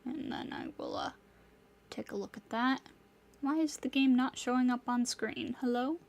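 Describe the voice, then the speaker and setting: A woman live-streaming a game, greeting her chat by name and pleading with her streaming software when it will not work. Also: on the high side, moderate pace, vocal fry.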